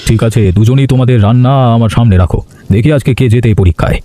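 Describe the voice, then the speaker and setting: A man's voice: medium pitch, very fast, noisy background, natural voice. A man playing an earnest, polite village family man in cartoon dialogue. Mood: pleased. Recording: music behind the voice.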